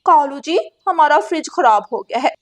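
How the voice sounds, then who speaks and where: A woman's voice: sits high, animated, moderate pace. A woman voicing a long-suffering crow wife and mother in a moral-story cartoon, plaintive and given to sarcasm. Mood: pleading.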